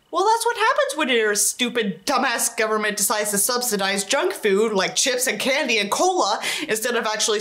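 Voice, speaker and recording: A woman's voice: high-pitched, a bit bright. A young woman reading a book aloud as a commentary host, theatrical and sarcastic, with profane asides. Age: young adult. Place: indoors, small set with tinsel backdrop.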